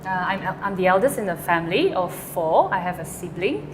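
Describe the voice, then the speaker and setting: A woman's voice: lowish voice, big pitch swings, brisk, echoey room, noisy background. A woman, a songwriter answering interview questions hesitantly, with many fillers and restarts. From Malaysia. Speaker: guest songwriter.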